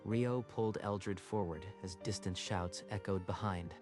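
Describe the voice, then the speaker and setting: Guy narrating a dramatic story, lowish voice, scripted, music playing under him. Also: unhurried. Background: background music.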